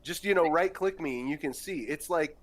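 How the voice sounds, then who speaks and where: A man's voice: on the high side, fairly quick. A young man joking wryly with friends while playing a game. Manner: wry, joking. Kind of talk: casual gaming chat.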